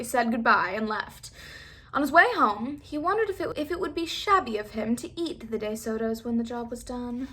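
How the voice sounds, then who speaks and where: A woman narrates a children's picture book with plenty of expression. Her voice is very high and bright, suited to read-aloud storytime.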